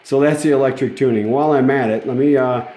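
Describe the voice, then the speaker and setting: Male radio restorer, informal and keen, soft mellow tone, casual phone-shot demo in a live-sounding room. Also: mid-range voice, fairly even intonation, quick pace. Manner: enthusiastic, folksy, informal. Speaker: radio restorer giving a demonstration. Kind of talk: casual hands-on demo chat. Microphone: handheld smartphone camera. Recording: roomy phone-camera sound. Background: vintage radio playing music.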